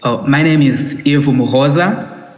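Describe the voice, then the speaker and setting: Young man, mid-pitched, headset call audio with long reverb, steady and earnest panelist delivery. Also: a little slow. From Burundi, grew up in Zimbabwe. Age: young adult. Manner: earnest, steady, with frequent "uh" fillers. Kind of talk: conference presentation over video call. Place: indoor room, remote from Italy. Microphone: headset on a video call.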